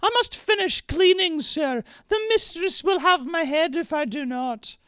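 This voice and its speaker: A demure reptilian maid from a fantasy stage farce, played by a man in falsetto. The voice sits very high, prim and servile, protesting with exaggerated flustered politeness. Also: measured pace, pushed and bright, heavily acted. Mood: anxious.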